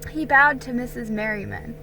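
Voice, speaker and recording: A woman giving an amateur dramatic storybook reading, deliberate and a little halting, with stumbles. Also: fairly high pitch, wildly swooping pitch, creaky, noisy background.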